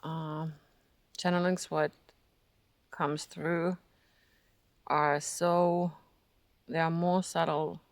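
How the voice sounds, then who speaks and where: A Finnish-accented speaker, native in Finnish, gives a hesitant, reflective spiritual vlog, often searching for words and laughing softly. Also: medium pitch, little pitch movement, extremely slow, pauses often, dry recording. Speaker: spiritual vlogger. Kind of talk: casual reflective monologue.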